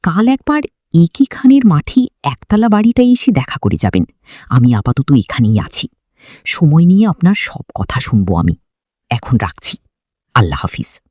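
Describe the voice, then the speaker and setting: A woman voicing a calm, sly man with a measured, formal manner in an audio story. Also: low-pitched, no echo, clean studio sound, voice pushed down. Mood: cool, evasive. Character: calm, sly young man feigning ignorance.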